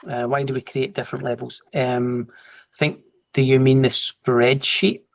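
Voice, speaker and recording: A man with a broad Glasgow accent, a trainer giving a relaxed, informal live online lesson over a video call and talking through each step as he works. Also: mid-range voice, normal speed, creaky, muffled recording. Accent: Glasgow Scottish. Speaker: software trainer. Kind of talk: live online training, explaining and answering questions.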